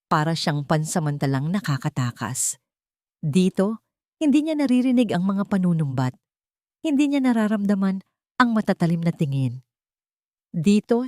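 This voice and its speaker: Low-voiced woman narrating a story. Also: dark tone, dry recording, clean studio sound. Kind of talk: scripted dramatic story narration.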